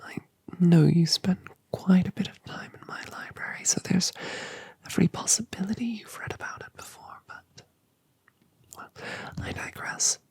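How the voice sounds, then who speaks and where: A woman voicing a colossal, tender mother dragoness in a whispered ASMR roleplay, speaking softly and soothingly. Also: on the low side, exaggerated voice. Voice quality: breathy whisper. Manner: soft, slow, soothing.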